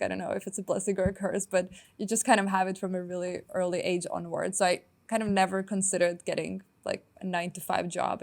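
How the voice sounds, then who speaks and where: A young woman from Estonia, a startup founder answering interview questions in a quick, upbeat way with many filler words. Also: medium pitch, gravelly.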